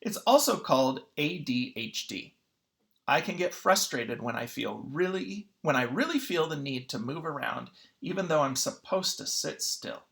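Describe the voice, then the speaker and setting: Someone reading a children's picture book aloud in an expressive, teacherly way. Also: medium pitch, moderate pace, vocal fry. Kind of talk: children's read-aloud narration.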